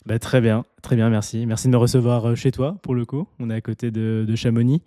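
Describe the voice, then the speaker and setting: A man's voice: medium pitch, steady tone, brisk, mellow, no room sound, clean studio sound. A man hosting a podcast interview, talking with many hesitations and restarts. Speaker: podcast host. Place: home near the mountains.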